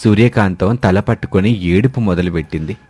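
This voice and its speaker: A man's voice: lowish voice, brisk, dark tone, breathy edge, dry recording. A man narrating a scripted moral story as a storyteller.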